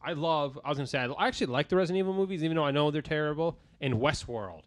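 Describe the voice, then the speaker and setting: Quick talking guy, kinda higher voice, hosting a casual podcast chat. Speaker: host of a pop culture podcast.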